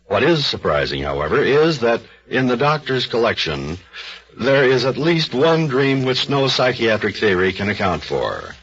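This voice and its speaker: A man introducing an old-time radio story in a formal, deliberate, emphatic scripted style. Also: mid-range voice, big pitch swings, fairly bright tone, breathy edge. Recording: dull old radio recording.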